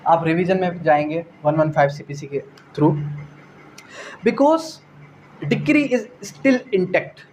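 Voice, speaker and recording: Male law lecturer, Pakistani accent, high-pitched, didactic question-and-answer delivery, slight background noise. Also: very animated, takes it slowly, long pauses, darkish tone, poor audio. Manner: code-switching between Urdu and English legal terms. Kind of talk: explanatory exam-prep lecture.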